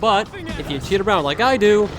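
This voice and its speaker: A man's voice: high voice, lively intonation, fairly quick, over music. A young man giving casual commentary as a gaming video creator.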